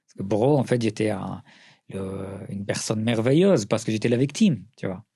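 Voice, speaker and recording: A middle-aged man from Syria with a Syrian accent, answering interview questions earnestly, often pausing and restarting. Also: lowish voice, gravelly, warm tone, slightly rough, no room sound. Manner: earnest, with frequent hesitations and restarts. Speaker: writer and journalist, interview guest.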